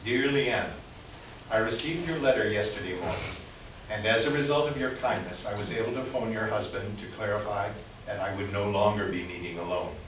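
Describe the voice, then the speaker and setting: A man's voice: on the low side, brisk, lo-fi sound, natural voice. A man playing a courtly, gleeful older store employee in a staged letter reading, with a genteel, theatrical delivery. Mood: pleased, courteous. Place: live stage presentation in a roomy venue. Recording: very echoey, distant, noisy live stage recording.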